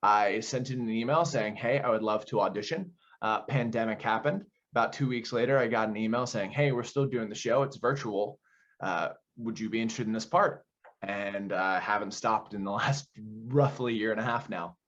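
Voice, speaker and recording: A man's voice: lowish voice, normal speed, fairly bright tone. A young American man giving earnest, explanatory answers in a conversational interview as a theater director and actor. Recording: dull, lo-fi audio.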